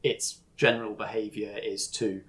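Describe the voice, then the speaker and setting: A man running a tabletop role-playing game, explaining things in a measured way and pausing to pick his words. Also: lowish voice, little pitch movement, fairly bright tone. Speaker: game master.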